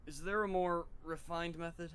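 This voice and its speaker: A man voicing a hesitant, bewildered teenage schoolboy in an anime fan dub, speaking haltingly. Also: a little high, fairly even intonation, fairly bright tone, clean tone, natural voice. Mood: uneasy, hesitant.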